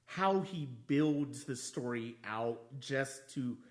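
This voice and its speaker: A man hosting a casual podcast discussion, speaking in halting, broken phrases as he thinks aloud. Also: medium pitch, expressive. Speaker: podcast host.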